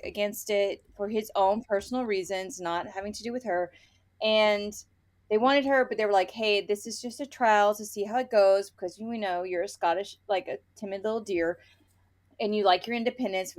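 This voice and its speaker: A woman podcast host in a casual book chat, ranting bluntly with opinions and swearing, often acting out imagined dialogue. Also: medium pitch.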